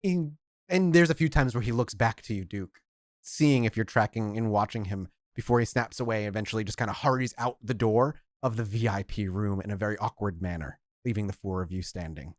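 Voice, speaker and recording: A man's voice: on the low side, fairly quick, dry recording, studio quality. A man working as game master, improvising narration for a tabletop vampire game and often revising his sentences as he goes.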